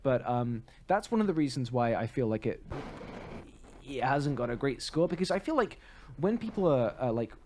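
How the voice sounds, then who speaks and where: A man speaks as a podcast co-host in loose, conversational review mode. His delivery rambles and halts, often doubling back to rephrase as he goes. The pitch sits in an ordinary middle range for a man. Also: sing-song, normal speed, darkish tone, a little hiss or hum. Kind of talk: casual film review chat.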